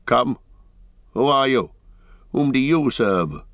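A man's voice: mid-range voice, expressive, takes it slowly, fairly bright tone, no room sound, voice pushed up. A stern, haughty horse-lord acted out by a male reader from a fantasy audiobook. He speaks in formal, archaic phrases with a challenging, suspicious edge. The sound is dry and close. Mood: proud, guarded. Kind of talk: dramatic audiobook fantasy reading.

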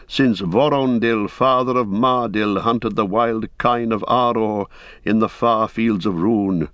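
A man's voice: medium pitch, fairly bright tone, no room sound, muffled recording, lightly acted. A man reading the part of a proud, bitter old lord who speaks in a cold, grave way.

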